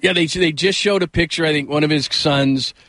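A man's voice: a little high, brisk, fairly bright tone, no room sound. A man hosting a casual sports talk radio show, rambling conversationally through stories with self-deprecating asides. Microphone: close studio microphone.

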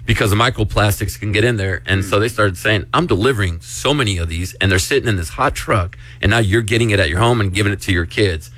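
A man's voice: fairly low pitch, fast talker, hardly any pauses, fairly bright tone, dry recording. A man hosting a radio show, casually sharing offbeat news items amid group banter.

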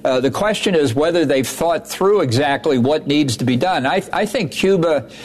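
A former ambassador gives his view on a news show in a measured, deliberate way. His voice sits a bit high for a man. He often doubles up on words as he begins a thought. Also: animated. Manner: measured, repeats words as he starts sentences. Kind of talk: news interview.

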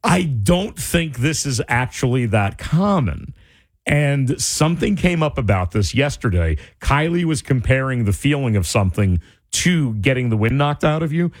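A male radio host in casual on-air chat, animated and incredulous, his voice starting to go. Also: mid-range voice, no echo. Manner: animated, incredulous, quick asides. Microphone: studio microphone.

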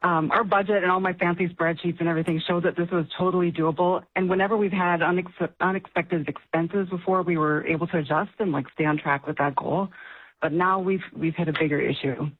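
A woman's voice: fairly low pitch, fairly even intonation, fairly quick, slightly rough. A woman in her late forties calling an advice show, speaking in an emotional, hesitant way with many fillers. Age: late 40s. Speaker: caller. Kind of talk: phone call to a radio show. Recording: phone line.

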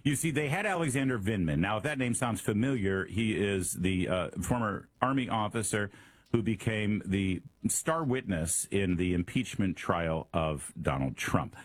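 A middle-aged Midwestern man with a slightly husky voice delivers an opinionated political monologue. He talks in a sarcastic, mocking way, often stumbling and repeating words as he starts a sentence. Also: on the low side, animated, normal speed. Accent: Midwestern American. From American Midwest. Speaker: radio and podcast host.

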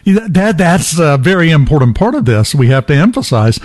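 A man hosts a relaxed radio interview, his voice sitting a bit high for a man. He talks emphatically and jokes easily, leaning into the points he wants listeners to remember. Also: brisk. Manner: emphatic, animated, joking. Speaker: radio host.

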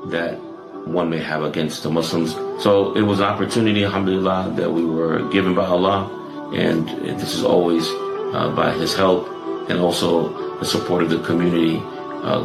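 A man speaking calmly and softly to his community at an Islamic center, in a measured way. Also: low-pitched, vocal fry, echoey room. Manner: measured, soft-spoken, calm. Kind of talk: address to the community.

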